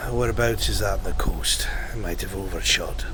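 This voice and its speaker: A man's voice: sits low, lively intonation, noisy background. A man playing a courteous, bewildered traveller in a roleplay game, with formal old-fashioned wording. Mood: polite, concerned. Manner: formal, polite, measured wording. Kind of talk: live improvised roleplay acting.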